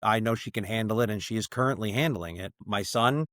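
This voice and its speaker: A middle-aged man talking casually as a podcast guest, telling stories with lots of "you know" fillers and lively quoted voices. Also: on the low side, brisk, no room sound. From born in Chile, raised in the US. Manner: chatty storyteller, many "you know" fillers, animated when quoting. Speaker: brewery founder, podcast guest. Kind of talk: casual conversational interview.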